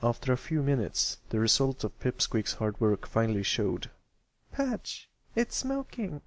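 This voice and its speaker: A man's voice: lowish voice, moderate pace, dry recording. A man giving a scripted narration of a fan fiction story as an amateur reader.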